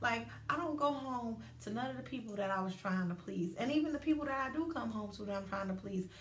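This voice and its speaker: A woman's voice: fairly high pitch, quick pace, a little hiss or hum. A woman, hosting her own show and chatting casually and animatedly on a livestream. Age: 30s. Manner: animated, chatty, acts out inner voices. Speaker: host. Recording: roomy echo, distant from mic.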